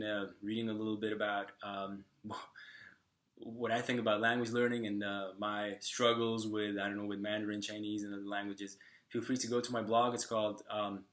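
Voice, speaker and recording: A young man whose first language is Slovak talks casually to his viewers in a slightly low voice. He pauses often, restarts his sentences and fills the gaps with "um" and "uh". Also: a bit bright. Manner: halting, with many fillers and restarts. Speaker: vlogger. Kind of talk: casual talk to camera.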